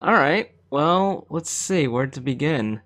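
A man's voice: fairly high pitch, animated, takes it slowly, fairly bright tone. A man co-hosting a casual podcast, earnestly explaining singing terms. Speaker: podcast co-host.

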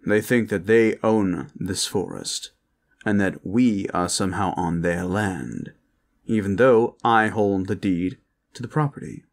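Man playing a low, slow, serious protector. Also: vocal fry. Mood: stern, weary. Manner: measured, earnest. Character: weary, serious male protector, calm and cautious. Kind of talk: audio roleplay drama.

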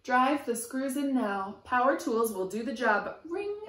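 Slow, sing-song woman reading to children, roomy. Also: sits high, lively intonation, fairly bright tone. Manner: rhythmic, sing-song, emphatic on sound words. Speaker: preschool teacher reading storytime. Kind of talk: expressive read-aloud for young children. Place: small room at home, indoors. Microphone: camera a few feet away. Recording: roomy echo from camera distance.

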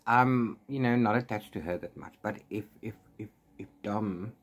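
A man in his forties giving a casual, unscripted book chat, rambling in an animated way with exasperated asides. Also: mid-range voice. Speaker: book reviewer vlogger. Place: small room at home with bookshelves.

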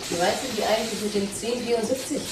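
A woman plays a sarcastic colleague in a radio play, needling in a mid-pitched female voice. The room is echoey and clearly noisy. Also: fast talker, close to an everyday voice. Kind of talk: German radio drama acting.

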